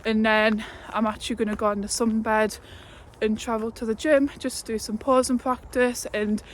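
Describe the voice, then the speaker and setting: Young woman, twenty, unhurried chatty vlog talk, slightly high voice, faint background noise. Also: flat delivery. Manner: chatty, with laughs and fillers. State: out of breath while walking uphill. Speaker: fitness vlogger. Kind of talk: casual vlog talk to camera. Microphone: phone held at arm's length.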